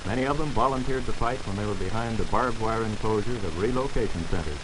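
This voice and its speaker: A man with a lowish voice reads a 1940s newsreel in a brisk, announcing manner. The old archival recording is noisy and crackly throughout. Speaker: newsreel narrator.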